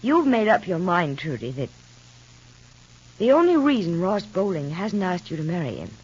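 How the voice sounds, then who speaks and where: An actress in an old radio soap opera playing a frank, insistent, unhappily married woman, speaking earnestly and deliberately. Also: lowish voice, very animated, a little mellow, slightly rough. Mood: firm, insistent. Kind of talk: 1940s-50s radio soap opera acting. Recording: muffled old radio recording.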